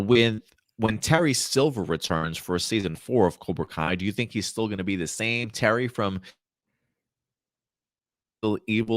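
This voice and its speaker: Male host, 30s, low voice, dry close sound, rambling casual talk. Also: no echo. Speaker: host of a live pop culture show. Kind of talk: casual chat, answering viewer questions.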